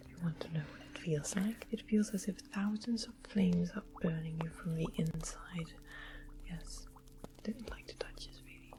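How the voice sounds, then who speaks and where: A woman playing a sweet, sly witch in a medieval fantasy ASMR roleplay, whispering in a slow, musing way with small pauses. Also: on the low side, natural voice, rough recording. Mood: wary. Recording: clear background ambience noise. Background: soft music and ambience.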